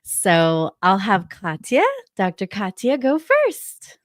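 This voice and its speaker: A woman hosts a panel over a video call, drawing her words out slowly. The sound is dry and close, with no room around her voice. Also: mid-range voice, sing-song, no room sound. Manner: slow, drawn-out speech with frequent laughs. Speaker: summit host.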